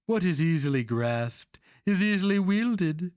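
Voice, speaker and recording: A man voicing an aged, frail, haughty grandmaster sorcerer in a dramatic fantasy reading, hissing slowly with sly menace. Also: sits high, animated, a little mellow, no room sound, clean studio sound, voice pushed up. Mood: sly musing. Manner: hissing, slow and deliberate.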